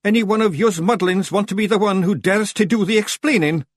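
A man's voice: high-pitched, a little fast, no echo, clean studio sound, pitched above the performer's own voice. A man voicing a bossy, boastful little Feegle clan leader in an audiobook, hectoring in Scottish dialect. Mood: dire, commanding. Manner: emphatic, hectoring, speechifying in dialect.

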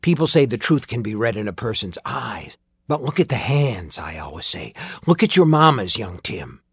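A man's voice: fairly low pitch, very animated, moderate pace, breathy edge, dry recording, exaggerated voice. A man voicing a sinister, mockingly courteous sorcerer in an audiobook story, with archaic, teasing speech that drops into whispers. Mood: mock concern.

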